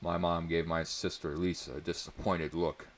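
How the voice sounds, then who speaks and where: A man's voice: low voice, level tone, moderate pace. A man narrating a first-person story as a dramatic reading.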